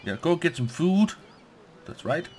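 Man, unhurried, halting, casual gaming commentary, muttering his thoughts. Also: on the high side, big pitch swings, darkish tone, slight background noise. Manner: halting, thinking aloud, reading game text. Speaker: gaming let's play host.

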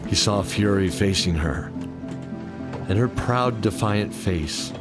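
A man's voice: fairly low pitch, slow. A man giving scripted narration of a fantasy adventure story in an audio drama. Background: music.

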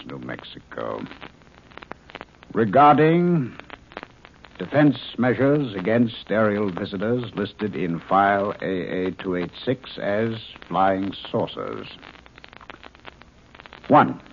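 A man's voice: fairly low pitch, exaggerated voice. An actor playing a male scientist in charge of a secret base, dictating a clipped official letter in 1950s radio drama style. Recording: dull old radio recording, faint hiss.

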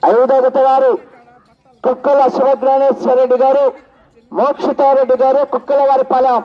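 An extremely high-pitched man with an Andhra Telugu accent calls a live rural contest. He repeats his announcements and punctuates them with exclamatory cries. The sound is dull and lo-fi. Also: little pitch movement, moderate pace. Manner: repetitive announcing broken by loud exclamatory cries. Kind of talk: live contest commentary over a public address.

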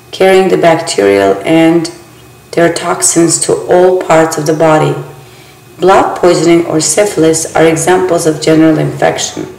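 Gravelly low-voiced woman reading slides. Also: reverberant, low-quality recording. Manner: steady, measured slide reading with small grammar slips. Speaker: barbering theory instructor. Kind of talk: reading exam slides aloud.

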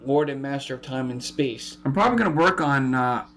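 A man in his thirties giving casual, rambling commentary, halting and often repeating or correcting himself, recorded at home on a webcam mic. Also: medium pitch, a loud crowd. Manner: halting, repetitive, self-correcting. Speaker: amateur video maker. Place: living room at home. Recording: steady hum and background noise.

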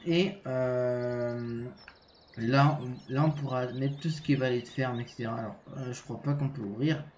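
A man's voice: mid-range voice, steady tone, moderate pace, a little mellow, roomy sound, lo-fi sound, a little hiss or hum. A French man with a cold giving a casual, hesitant game walkthrough, full of fillers and restarts. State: has a cold. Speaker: gaming channel host.

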